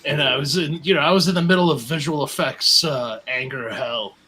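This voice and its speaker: Loud, bright-voiced man joking about himself. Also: fairly high pitch, a little fast, low-quality recording. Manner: loud, self-mocking storyteller. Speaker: podcast co-host. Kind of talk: casual podcast banter.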